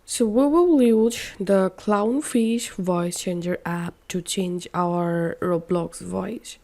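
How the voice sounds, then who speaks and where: A woman narrating a step-by-step software tutorial in simple, instructional wording. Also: fairly low pitch, slow pace. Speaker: tutorial host.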